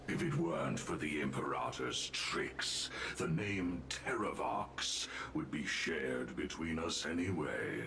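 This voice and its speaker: A man's voice: rumbling low, slow pace, few pauses, slight background noise, full character voice. A man voicing an ancient, mighty earth dragon in a video game, grim and imperious, with grandiose, solemn delivery. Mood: menacing, defiant. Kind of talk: dramatic video game voice acting. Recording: loud game music behind the voice.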